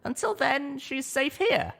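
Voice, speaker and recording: A girlish young pony mare, acted out by a male narrator, pitched well up. She is an earnest, firm-minded storybook heroine with a lively delivery. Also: a little slow, gravelly, heavily acted. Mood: firm, insistent. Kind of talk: audiobook character acting.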